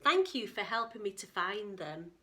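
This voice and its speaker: Woman reading as a bright, friendly young dinosaur. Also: medium pitch, sing-song, normal speed. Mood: content, grateful. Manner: emphatic, reassuring. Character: friendly, reassuring young male dinosaur in a storybook.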